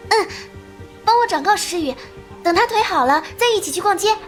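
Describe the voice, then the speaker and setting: A woman playing a sweet, timid young female student in an animated drama, with a girlish, hesitant manner. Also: very high voice, fairly quick, faint music behind. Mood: wistful, friendly.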